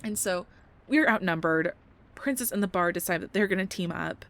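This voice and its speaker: A woman's voice: on the low side, moderate pace, natural voice. A young woman playing a nerdy, playful girlfriend who rambles about tabletop games in an intimate, casual ASMR roleplay style. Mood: excited, rambling. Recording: storm ambience with thunder behind. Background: thunder and storm noise.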